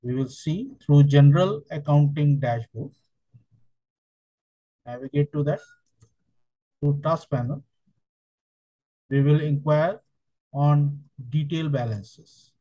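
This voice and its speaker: A man working as a software trainer, narrating a step-by-step tutorial in halting, piecemeal phrases. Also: on the high side, dull audio.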